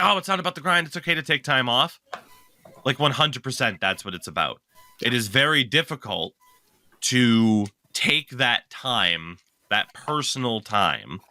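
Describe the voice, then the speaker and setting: A man's voice: on the low side, bright, forceful tone, dry recording. A young man co-hosting a podcast, chatting casually, rambling and correcting himself as he goes, swearing now and then. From lives in Maine. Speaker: podcast co-host. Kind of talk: casual chat.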